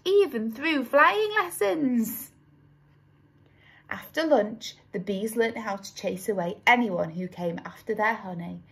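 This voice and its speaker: A woman narrating a children's story expressively, with dramatic, drawn-out emphasis on key words. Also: medium pitch, creaky, slightly rough. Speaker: storybook reader.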